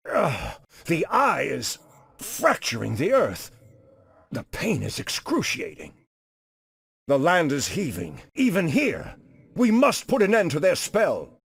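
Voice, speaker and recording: A man voicing an ancient, solemn and commanding druid leader in a fantasy video game, with a grave, formal, theatrical delivery and a gravelly, husky voice. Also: fairly high pitch, wildly swooping pitch, takes it slowly, fairly bright tone, dry recording, full character voice. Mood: agonized, then urgent.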